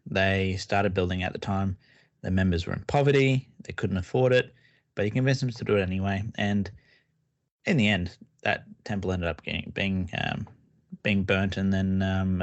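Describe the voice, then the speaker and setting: Low-voiced man on a podcast. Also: normal speed, no echo, muffled recording. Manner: relaxed, explains at length with frequent fillers and restarts. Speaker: podcast co-host. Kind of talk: casual podcast chat.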